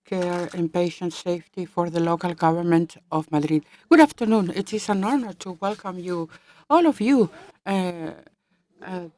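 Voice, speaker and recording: A woman giving simultaneous interpretation of a conference speech, halting, with fillers and self-corrections. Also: on the low side, a little mellow, no echo.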